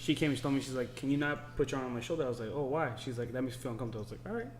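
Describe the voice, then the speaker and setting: A man's voice: mid-range voice, animated, racing, a crowd in the background. A man chatting informally as a podcast co-host, swearing freely. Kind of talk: casual chat.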